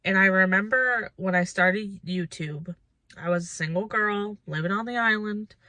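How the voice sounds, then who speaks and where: A woman's voice: mid-range voice, normal speed, pushed and bright, no room sound. A woman of about thirty chatting casually and reflectively to her viewers as a lifestyle vlogger, informal and thinking aloud.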